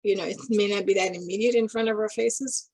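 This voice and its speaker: A middle-aged woman from Guyana speaking in a halting, thoughtful way, pausing and restarting as she reflects. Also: mid-range voice, steady tone, darkish tone, husky, dry recording, low-quality recording. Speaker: interviewee. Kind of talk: casual reflective talk.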